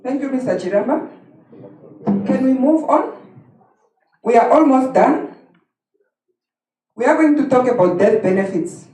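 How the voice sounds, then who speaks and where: A woman facilitating a workshop, briskly keeping the session moving and answering questions. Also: high-pitched, lively intonation, dark tone. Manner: brisk, keeps things moving. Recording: echoey hall, distant mic.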